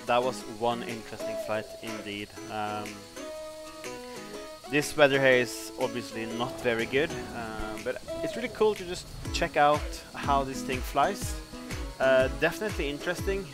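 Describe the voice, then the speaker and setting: A man's voice: mid-range voice, normal speed. Chatty Norwegian man with music underneath. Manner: relaxed, rambling with many fillers. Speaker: flight sim streamer. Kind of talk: casual gameplay commentary. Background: music playing underneath.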